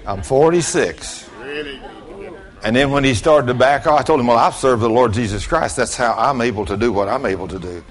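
A man's voice: mid-range voice, moderate pace, some chatter behind. A man, giving an informal storytelling sermon in a folksy, plain-spoken way, talking straight to his listeners. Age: late 60s. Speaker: preacher.

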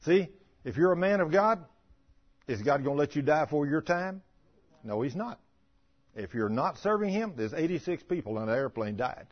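A man's voice: fairly high pitch, expressive, normal speed, muffled recording. A man preaching in a folksy, emphatic way, reading scripture and putting plain questions to the congregation.

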